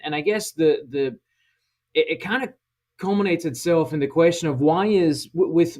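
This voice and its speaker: A man's voice: fairly high pitch, darkish tone. A man hosting a podcast discussion, asking long, rambling questions. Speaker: podcast host.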